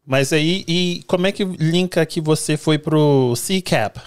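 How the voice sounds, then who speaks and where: A man's voice: fairly high pitch, measured pace, clear, resonant tone. A man, hosting a podcast and asking his guest loose, casual questions. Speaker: podcast host.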